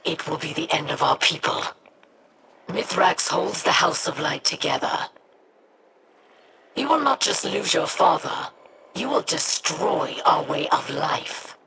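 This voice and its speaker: An actress playing a stern, proud alien warrior in a video game, speaking formally with gravelly, cutting deliberation. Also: female voice, low voice, animated, a little slow, background noise, exaggerated voice. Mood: harsh, commanding. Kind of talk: video game cutscene acting. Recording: loud music underneath.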